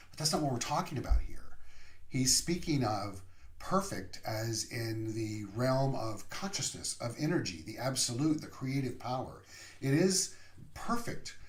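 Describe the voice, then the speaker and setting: Slow reflective male minister, roomy sound. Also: medium pitch. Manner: slow, reflective, pausing between phrases. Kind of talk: sermon. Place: room, via online service. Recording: roomy echo.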